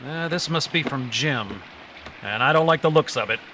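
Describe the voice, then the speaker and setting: An actor playing a decisive, businesslike adventure hero in 1940s radio style, brisk and commanding. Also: male voice, mid-range voice, expressive, background noise, close to an everyday voice.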